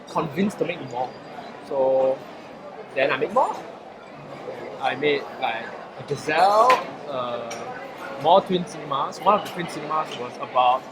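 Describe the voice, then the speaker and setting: A nineteen-year-old Singaporean man chats with a slightly high voice, rambling and hesitant, full of fillers and restarts. He speaks in a noisy public food court with clear background chatter around him. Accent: Singaporean English. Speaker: poet, interview guest. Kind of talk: casual interview chat.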